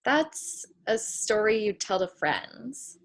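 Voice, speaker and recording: A woman's voice: mid-range voice, sing-song, slow. A woman playing a guarded, candid young woman on an awkward date in a play table read, speaking frankly and with measure.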